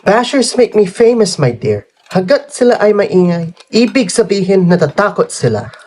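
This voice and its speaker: Man as arrogant, menacing politician father, radio drama; very animated pitch, faint room noise. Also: normal speed. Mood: smug. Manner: menacing, imperious, code-switching with English. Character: ruthless, arrogant middle-aged governor and father.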